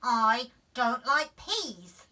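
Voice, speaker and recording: Woman doing a stubborn little girl, loud and drawn-out. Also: a little high, lively intonation, distant mic, heavily acted. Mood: stubborn, defiant. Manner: slow, defiant, drawn-out refusals. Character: stubborn little girl refusing her dinner. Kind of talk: children's storybook character reading.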